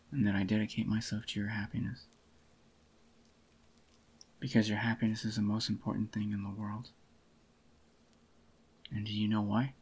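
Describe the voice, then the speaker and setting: A possessive yandere boyfriend, acted by a man, murmurs tenderly in a soft-spoken ASMR roleplay. His voice is on the low side, husky and a little groggy, with a crackly fry. He sounds intimate and doting, as if soothing his sweetheart. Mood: devoted.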